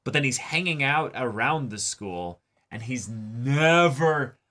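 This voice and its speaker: A man's voice: mid-range voice, expressive, slow, bright, forceful tone. A man chatting casually as he hosts a reaction show, talking emphatically and swearing freely. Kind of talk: casual discussion and commentary of an anime episode.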